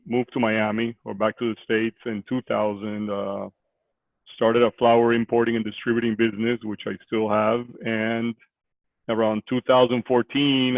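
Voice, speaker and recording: A man in his forties from Costa Rica, a real estate investor talking on a video call from a small office, halting with many fillers. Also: medium pitch, vocal fry, dry recording. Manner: hesitant, many fillers and restarts. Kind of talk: conversational interview. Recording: tinny phone-like line.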